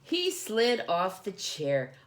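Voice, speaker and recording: A woman reading a picture book as a storytime host, animated and addressing young children directly with questions. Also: medium pitch, takes it slowly.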